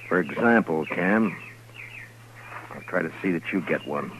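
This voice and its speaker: Stern american western lawman, real low voice, dry and measured, noisy old radio tape. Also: fairly bright tone, lo-fi sound. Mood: firm, grim. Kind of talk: 1950s radio western acting.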